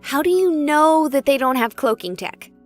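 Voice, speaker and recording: A woman playing a plucky, stubborn young streamer in a visual novel, sarcastic and excitable in her delivery. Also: high voice, normal speed. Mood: stubborn, jumpy. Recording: music behind the voice.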